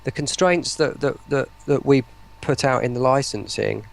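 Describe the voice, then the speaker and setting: A man speaks as a guest in a casual interview. His voice has a gravelly creak, and he talks haltingly, often doubling back on words as he explains things. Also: medium pitch. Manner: hesitant, repeats words mid-sentence. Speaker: interview guest, voice pack producer.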